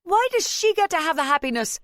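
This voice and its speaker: A woman's voice: medium pitch, fairly even intonation, brisk, fairly bright tone, dry recording, pitched above the performer's own voice, close to an everyday voice. A woman voicing a wounded, tearful young wife who pleads and defends herself in an audiobook story. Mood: anguished, accusing. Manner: anguished, defensive, pouring out words.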